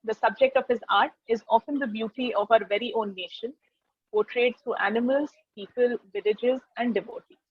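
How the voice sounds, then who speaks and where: A woman with an Indian accent hosts an interview in a slightly high voice with a husky edge. The sound is dry and somewhat dull, as in a lo-fi recording. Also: fairly even intonation, moderate pace, no echo. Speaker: interview host. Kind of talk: conversational interview hosting with scripted introduction.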